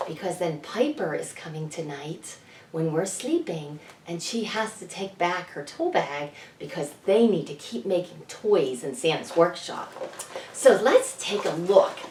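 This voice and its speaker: A woman talks to young children as a storytime presenter, taking her time over each phrase. Her pitch moves up and down in a lively, teacherly way as she poses questions. She sounds set back from the microphone in a roomy space. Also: a little hiss or hum. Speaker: children's author presenting at a library story event. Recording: roomy echo, distant microphone.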